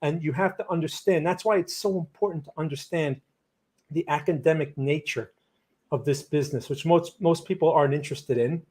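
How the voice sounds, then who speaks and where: A man's voice: a little high, fairly quick, a little mellow. A man with a New Jersey accent hosting a live market-analysis stream, answering chat questions in a blunt, opinionated, rambling way. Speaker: trading livestream host.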